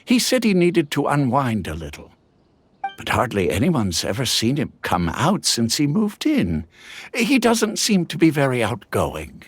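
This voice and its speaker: Chill kind village head, slow and welcoming, really sing-song. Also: male voice, mid-range voice, huge pitch swings, a little hiss or hum. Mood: friendly. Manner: unhurried, gentle and welcoming. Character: kindly village head, calm and hospitable. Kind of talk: game voice acting.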